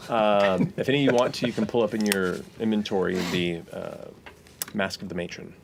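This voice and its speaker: A man's voice: lowish voice, fairly even intonation, fairly quick. A man acting as game master in casual tabletop game talk. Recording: clear background noise.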